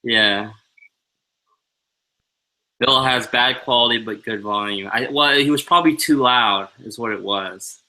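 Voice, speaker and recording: A man's voice: medium pitch, measured pace, long pauses, pushed and bright, low-quality recording. A tired man co-hosting a loose, joking live-stream talk show from home over a video call on a headset. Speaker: co-host. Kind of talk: casual live-stream chat.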